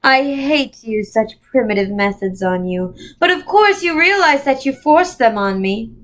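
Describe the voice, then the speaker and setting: A woman voicing a menacing, coolly amused man who speaks by thought in a storybook, slow, deliberate and condescending. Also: a little high, expressive, a bit bright.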